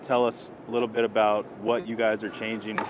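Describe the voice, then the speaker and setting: Low-pitched, flat-toned male interviewer. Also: fairly quick. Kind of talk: informal business interview at a trade show. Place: trade show floor. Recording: clear show-floor background noise.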